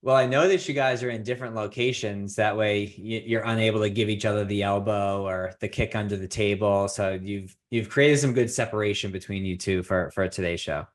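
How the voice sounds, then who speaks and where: Low-voiced man hosting a podcast. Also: fairly even intonation. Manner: halting, many filler words, laughs easily. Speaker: podcast host. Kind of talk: casual interview.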